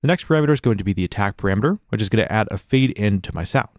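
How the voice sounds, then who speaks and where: A man with a fairly low voice walks through a piece of software like an instructor. He talks very quickly, in a conversational, explanatory way. The sound is very dry, like a close voice-over mic with no room around it. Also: expressive, no room sound. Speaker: music production tutorial instructor. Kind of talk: casual software tutorial narration.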